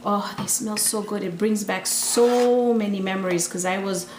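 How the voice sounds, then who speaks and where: Female home cook from East Africa, mid-pitched, casual and enthusiastic demo delivery, roomy sound with faint noise. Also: moderate pace. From Tanzania, East Africa. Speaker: home cooking show host. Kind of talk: casual cooking demonstration. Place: indoor kitchen. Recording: echoey room with faint background noise.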